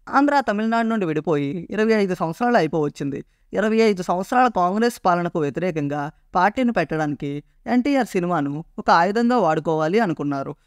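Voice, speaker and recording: High-pitched man, clear explainer narration. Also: dry recording, clean studio sound. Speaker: video presenter.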